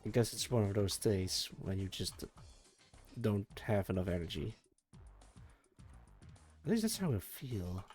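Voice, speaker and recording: A sleepy man chatting casually and mumbling to himself on a live stream while he works, with energetic music faintly behind him. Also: fairly low pitch, measured pace, pauses often. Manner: soft, meandering, mumbles to himself, trails off. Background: faint energetic soundtrack music.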